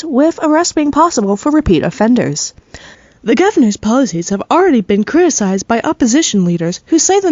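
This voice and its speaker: An actress playing a formal news radio anchor reading a scripted bulletin in an audio drama. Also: female voice, high-pitched, darkish tone, dry recording, highs cut off.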